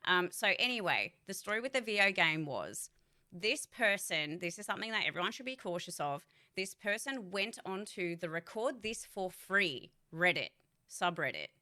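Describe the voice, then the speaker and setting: A young woman talks straight to her stream chat in a bright, forceful voice. She is chatty and blunt, swears freely, and keeps asking her viewers questions as she goes. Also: mid-range voice, very animated, moderate pace. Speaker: voice actor and streamer. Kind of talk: casual livestream chat to viewers.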